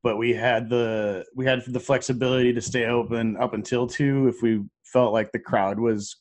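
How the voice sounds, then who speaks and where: A man co-hosting a podcast, chatting in a relaxed, hesitant way with frequent fillers. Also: medium pitch, normal speed. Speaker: podcast co-host. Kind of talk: casual chat.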